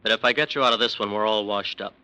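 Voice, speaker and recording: Casting: a nervous, earnest defense lawyer with a bright voice, old radio style. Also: male voice, lowish voice, fast talker, rough recording. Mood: uneasy. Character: male defense lawyer, nervous, under pressure. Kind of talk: 1940s radio acting.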